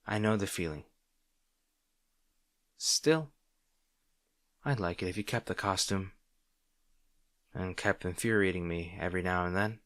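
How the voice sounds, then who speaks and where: Man acting a low-voiced teasing supervillain rival. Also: measured pace, long pauses, a bit bright, no room sound, clean studio sound. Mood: earnest, caring. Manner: teasing, bantering, grudgingly sincere. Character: arrogant male supervillain rival, teasing but secretly caring. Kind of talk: roleplay audio drama addressed to the listener.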